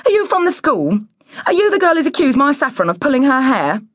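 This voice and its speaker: A woman's voice: high-pitched, sing-song, a little fast, no echo, clean studio sound, voice pushed up. A woman voicing a huge, snooty, snappish neighbour woman with false politeness in a children's story. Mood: snappish, suspicious. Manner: snapping, haughty, curt.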